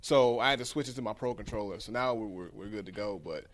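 A man's voice: lowish voice, fast. A man co-hosting a podcast, talking casually and informally about video games with slang and easy swearing. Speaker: podcast co-host. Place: podcast studio.

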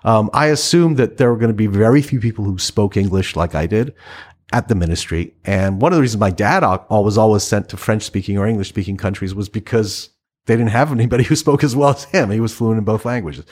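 A middle-aged man from Iran, a writer and interview guest, speaking reflectively in a casual conversation with frequent restarts and soft laughs. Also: on the low side, fast.

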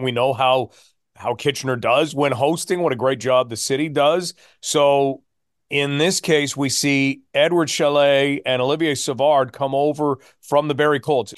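A man's voice: fairly high pitch, no echo, studio quality. A man hosting a sports podcast, asking questions in an emphatic, presenterly way. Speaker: sports podcast host and interviewer. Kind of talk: hosted sports interview.

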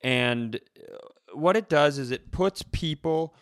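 A man's voice: fairly high pitch, takes it slowly, dry recording, clean studio sound. A man co-hosting a casual comedy podcast, rambling and emphatic, with some swearing. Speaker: podcast co-host.

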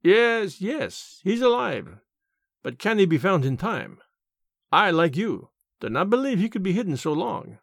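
A male narrator plays a fussy little male detective with a French accent. He is self-assured and dramatic, in a fairly high, very mobile voice, phrasing each thought formally for an audiobook story. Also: sing-song, gravelly, fairly bright tone, no echo, studio quality, exaggerated voice. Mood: earnest. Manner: theatrical, deliberate delivery with formal phrasing.

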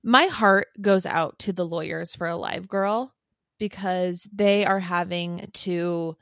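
A woman's voice: on the low side, no room sound, clean studio sound. A woman co-hosting a podcast in casual comedic chat, tongue-in-cheek and mock-earnest. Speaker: podcast co-host.

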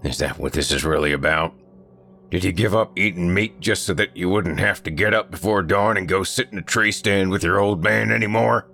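A man voicing a stubborn, loud middle-aged country father who grumbles and blusters. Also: low-pitched, normal speed, creaky, voice pushed up, heavily acted. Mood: rueful.

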